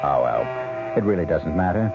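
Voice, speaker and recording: Wry, animated male mystery host over music. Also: extremely low pitch, moderate pace, creaky. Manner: wry, ominous, rhetorical. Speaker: radio drama host. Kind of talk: 1970s theatrical scripted narration. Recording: muffled vintage radio with loud music.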